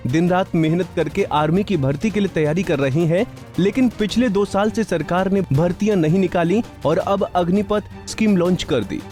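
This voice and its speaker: A male reporter reading a scripted news voice-over in a measured, formal way. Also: sits high, mellow, soft background music.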